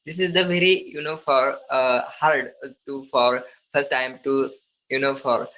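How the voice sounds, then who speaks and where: A man with an Indian accent practising conversational English, speaking haltingly in non-fluent phrases with broken grammar. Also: medium pitch, steady tone, bright, forceful tone, rough recording. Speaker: English learner. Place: online video call.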